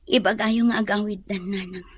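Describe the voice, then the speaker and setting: A woman's voice: mid-range voice, steady tone, moderate pace, slightly rough, lightly acted. A woman with an Ilocano accent playing a despondent, remorseful young daughter in a radio drama.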